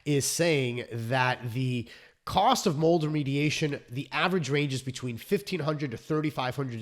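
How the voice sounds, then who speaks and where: A hoarse man who founded a restoration company, explaining his trade in a chatty vlog with fillers and restarts. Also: medium pitch. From South Florida.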